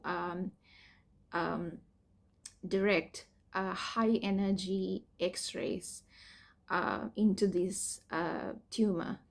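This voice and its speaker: A young woman from Sri Lanka, a PhD student, explaining her cancer treatment and story in a candid personal vlog, hesitant, with many fillers and soft laughs. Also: medium pitch. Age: young adult.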